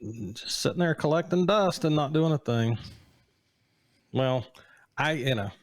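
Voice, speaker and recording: A man's voice: medium pitch, lively intonation, a little slow, no echo. A man in his late forties co-hosting a casual chat, teasing with dry jokes and banter. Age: 40s. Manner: wry, joking banter. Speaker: podcast co-host.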